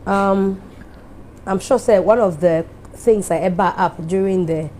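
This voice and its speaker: A woman's voice: medium pitch, unhurried, mellow, slight background noise. A Ghanaian woman hosting a TV show, giving an emphatic, heartfelt speech that mixes English and Twi.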